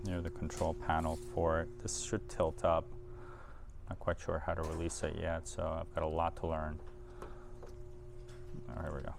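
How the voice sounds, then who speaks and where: Low, gravelly man giving casual demo. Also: monotone, normal speed, long pauses, noisy background. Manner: informal, thinking aloud while handling machine. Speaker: audio dealer presenting equipment. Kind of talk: casual hands-on equipment demo.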